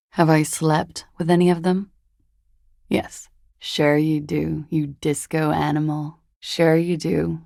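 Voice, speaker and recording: An actress playing a flippant, worldly, evasive young woman in a video game, speaking with a languid, deadpan wryness. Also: low voice, gravelly, slightly rough, dry recording, studio quality, heavily acted. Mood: cool, flippant, then wry, teasing. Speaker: video game character voice actor. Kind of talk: video game dialogue acting.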